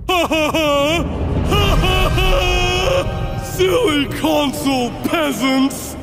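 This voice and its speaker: A man voicing a pompous gloating machine in a cartoon, with grandiose, booming delivery. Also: slow, gravelly, heavily acted. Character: pompous, gloating gaming machine mocking peasants. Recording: loud music over voice.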